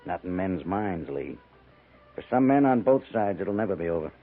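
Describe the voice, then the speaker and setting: An actor playing a wary, wry army major in command of a fort, in 1950s radio western style, with a husky voice. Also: male voice, sits low, very animated, normal speed, soft background music. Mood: wry, cautious. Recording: tinny 1950s radio broadcast recording.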